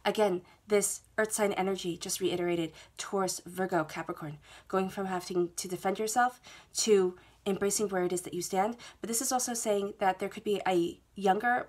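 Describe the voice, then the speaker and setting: A woman's voice: mid-range voice, steady tone, normal speed. A woman with a head cold giving a tarot reading at a table, talking in a soothing, reassuring way and often checking in with "okay?". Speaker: tarot reader. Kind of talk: monthly tarot reading to camera. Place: indoors at a table, reading laid-out cards.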